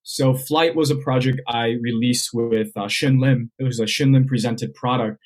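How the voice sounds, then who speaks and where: A man's voice: medium pitch, fairly even intonation, moderate pace. A young man from Southern California, a magician guest on a podcast, explaining how he builds his routines and acting out bits of past conversations. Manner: explains step by step, acts out remembered dialogue. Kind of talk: podcast interview answers.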